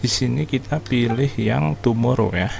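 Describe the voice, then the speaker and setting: A man's voice: mid-range voice, moderate pace, gravelly, noisy background. An Indonesian man giving casual, slangy gameplay commentary as a streamer. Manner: informal, slangy. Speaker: gaming streamer.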